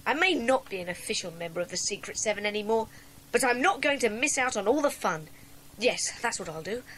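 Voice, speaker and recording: Defiant, left-out schoolboy played by a woman, high voice, muttering resolve, children's mystery audiobook. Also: expressive, brisk, fairly bright tone, slight background noise, low-quality recording. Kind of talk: dramatised children's audiobook acting.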